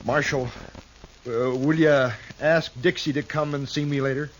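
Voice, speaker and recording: An actor playing a frightened, remorseful, simple-minded horse rancher in a western radio drama, pleading in halting stammers. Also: male voice, on the high side, steady tone, natural voice. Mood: subdued. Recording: muffled old radio recording, faint noise.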